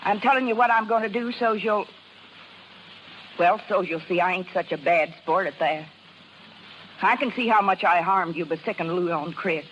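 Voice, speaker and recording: An actress playing a tired, broke carnival dancer in a 1930s American radio drama, speaking hesitant, folksy slang. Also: female voice, on the low side, moderate pace, pauses often, lo-fi sound, a little hiss or hum, natural voice. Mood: despairing, resigned. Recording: vintage 1930s radio, faint music behind.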